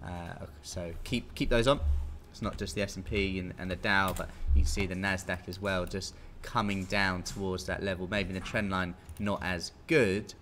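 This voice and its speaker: A man's voice: deep voice, fairly even intonation, moderate pace, few pauses, fairly bright tone. A British man in his thirties giving an informal, conversational live market briefing as a technical analyst. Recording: clear background noise.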